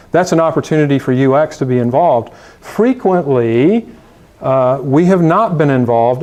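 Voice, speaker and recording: Emphatic higher-pitched man on stage. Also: a little mellow. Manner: emphatic, exhortative, with fillers. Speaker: conference speaker. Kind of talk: conference presentation. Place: conference room.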